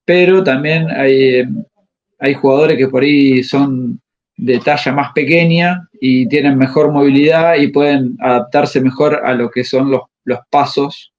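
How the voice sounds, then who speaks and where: A man, a tennis coach answering interview questions and pausing often to find his words. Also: mid-range voice, steady tone. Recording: slightly muffled audio.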